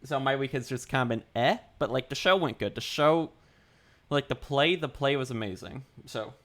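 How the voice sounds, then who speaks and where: A young man streaming while he draws, chatting casually with his viewers, his throat dry and sore and his voice a little deeper than usual. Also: lively intonation, moderate pace, a bit bright. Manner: rambling, chatty, greets chat, repeats himself. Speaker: art livestreamer. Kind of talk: casual livestream chat.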